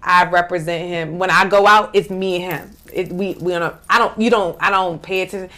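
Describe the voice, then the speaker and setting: A woman's voice: on the low side, brisk. Emphatic woman talk show host. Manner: emphatic, animated, repeats "absolutely". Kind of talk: casual panel chat. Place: small bright studio set.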